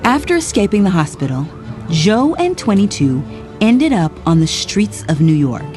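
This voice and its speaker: A woman reading scripted narration for a children's storybook in an expressive storytelling manner. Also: mid-range voice, moderate pace, soft background music. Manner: expressive read-aloud storytelling.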